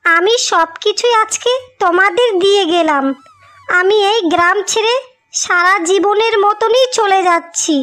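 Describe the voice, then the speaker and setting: A woman's voice: very high-pitched, a little slow, a bit bright. A woman voicing a wronged, self-sacrificing elder sister in a melodramatic cartoon fairy tale, plaintive and lamenting. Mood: tearful, resigned. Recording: music behind the voice.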